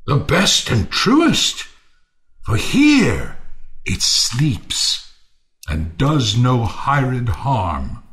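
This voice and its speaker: A man's voice: mid-range voice, huge pitch swings, drawn-out words, fairly bright tone, exaggerated voice. An actor playing a bitter, ruined Athenian nobleman turned misanthrope in a Shakespearean audio play, theatrical and scornful. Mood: surly, scornful.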